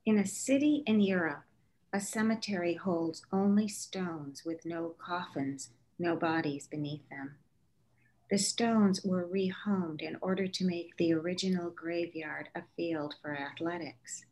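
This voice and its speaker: A woman's voice: fairly low pitch, unhurried, darkish tone, a little hiss or hum, poor audio. A woman giving a scripted reading of literary prose. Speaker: reader.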